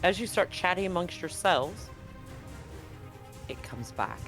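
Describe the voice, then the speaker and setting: A man with a slightly high-pitched voice runs a tabletop roleplaying game as game master. He improvises his narration, pausing and restarting as he builds the scene. Light music plays behind him. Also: animated. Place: live-streamed game session.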